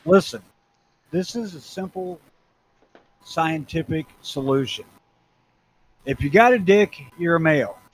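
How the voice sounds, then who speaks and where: Brief: blunt male co-host with a raised pitch, profane opinions, and faint hiss behind him. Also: unhurried, long pauses, rough recording. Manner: blunt, opinionated, swears often. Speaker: podcast co-host. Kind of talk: casual profane chat.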